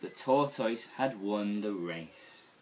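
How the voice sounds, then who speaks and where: A young man reading a picture book aloud to children in an expressive, deliberate storytelling style. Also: fairly low pitch, slight background noise, poor audio. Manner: animated, deliberate storytelling delivery. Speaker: school staff member reading to young children.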